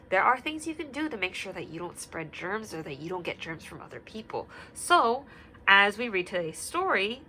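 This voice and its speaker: Soft sing-songy lady teacher reading a kids book at home, faint hiss in the back. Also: mid-range voice. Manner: gentle, sing-song, asks many questions. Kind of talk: read-aloud lesson for young children.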